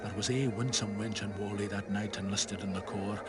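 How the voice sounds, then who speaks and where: A man giving a dramatic, theatrical recitation of a narrative poem, swinging between hushed and roaring delivery. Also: lowish voice, fairly even intonation, normal speed, over loud music. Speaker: poetry reciter.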